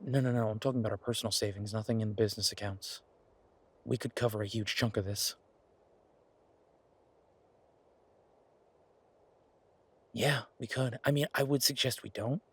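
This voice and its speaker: A male actor voices a practical boyfriend in a roleplay audio drama, with a husky, mid-pitched voice. He explains things in a casual, thoughtful way, pausing as he works through his reasoning. The delivery is natural and conversational, like one side of a private talk. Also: fairly even intonation, lightly acted. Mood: reassuring, earnest. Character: male werewolf boyfriend, practical restaurateur pitching a farm plan.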